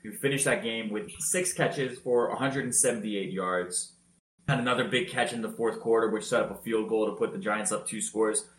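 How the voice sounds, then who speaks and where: A man telling football highlights in a casual podcast chat, energetic and loud-voiced. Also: normal speed, reverberant, low-quality recording. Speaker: podcast co-host. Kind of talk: casual sports recap.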